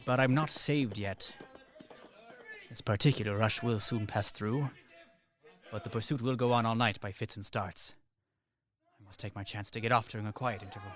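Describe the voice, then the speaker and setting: An actor playing a weary Swiss mercenary soldier in a radio play, speaking in a dry, ironic and polite way. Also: male voice, fairly low pitch, close to an everyday voice. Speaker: Captain Bluntschli. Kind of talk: college radio play acting.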